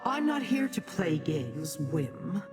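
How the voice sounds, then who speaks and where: An actress playing a haughty, businesslike woman in a fantasy video game, speaking in a measured, formal and commanding way. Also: deep voice, very animated, music in the background, heavily acted. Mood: curt, commanding. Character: stern, imperious female schemer, haughty and businesslike.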